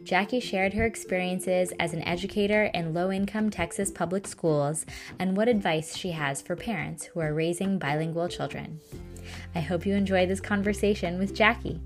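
Woman podcast host, measured and clear. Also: lowish voice, music in the background. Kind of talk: scripted podcast intro, then interviewing.

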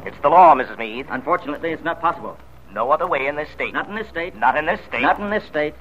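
An actor playing a formal, discouraging lawyer in an old radio drama. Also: male voice, on the high side, lively intonation, quick pace, fairly bright tone, a little hiss or hum, rough recording. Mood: formal refusal. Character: brisk, discouraging male lawyers. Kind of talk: 1940s radio drama acting.

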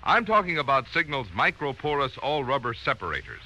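A man's voice: mid-range voice, very animated, a little fast, bright, forceful tone, rough recording. A man announcing on 1940s radio in an emphatic, polished, scripted style, recorded from a vintage broadcast. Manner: emphatic, polished sales delivery.

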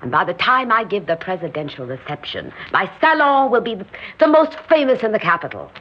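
A woman's voice: mid-range voice, sing-song, brisk. Imperious scheming socialite woman, theatrical, swooping voice. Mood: grandiose. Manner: theatrical, commanding, self-assured. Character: imperious, scheming, grandiose socialite hostess plotting assassination. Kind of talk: old-time radio drama acting. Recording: dull, muffled old radio recording.

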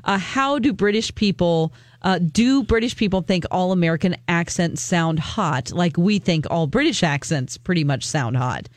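A woman's voice: on the low side, lively intonation, normal speed, hardly any pauses, dry recording. Chatty female co-host from Missouri, conversational storyteller, dry close mic. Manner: chatty storyteller, laughs often. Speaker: radio co-host. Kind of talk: morning radio banter.

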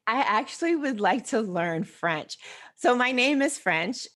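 Animated American woman in a casual interview. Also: a little high, a little slow. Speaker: interview guest, personal finance expert.

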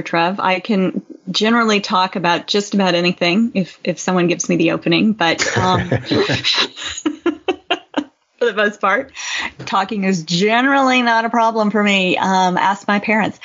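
A woman co-hosting a podcast in a casual, slightly halting way. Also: mid-range voice, fairly bright tone. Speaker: podcast co-host. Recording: dull, muffled lo-fi audio.

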